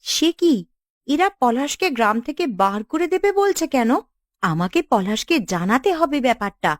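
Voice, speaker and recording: A woman's voice: high-pitched, sing-song, moderate pace, dry recording, studio quality. A woman voicing an inquisitive, concerned young village woman in a cartoon, musing to herself. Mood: curious, alarmed. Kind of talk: cartoon dialogue.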